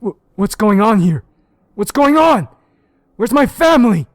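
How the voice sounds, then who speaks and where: A man voicing a desperate, grieving older detective who shouts frantically. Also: sits high, sing-song, a little slow, no echo, studio quality, voice pushed up. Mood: frantic.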